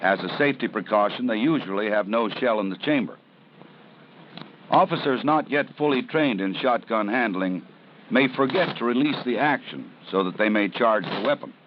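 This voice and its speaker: A man narrating a 1970s police training film in a measured, serious, instructive way. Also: medium pitch, lively intonation. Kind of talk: scripted documentary narration. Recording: dull 1970s film soundtrack with faint hiss.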